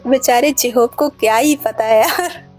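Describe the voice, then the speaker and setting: A woman's voice: a little high, a little slow, darkish tone, faint music behind. A woman narrating a chatty fan recap in a gossipy way, often turning to address her fellow fans directly.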